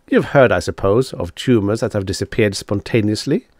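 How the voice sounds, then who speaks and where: A man's voice: fairly low pitch, normal speed, dry recording, clean studio sound, natural voice. A man voicing a proud, suave devil in an audiobook, lecturing in a matter-of-fact, condescending way as he bargains. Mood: lofty, confident. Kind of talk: audiobook character dialogue.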